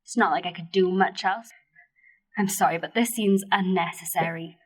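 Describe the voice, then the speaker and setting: An actress playing a nervous, soft-hearted widowed mother with a breathy, husky voice in an amateur radio play. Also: on the low side, normal speed, low-quality recording. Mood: flustered, defensive.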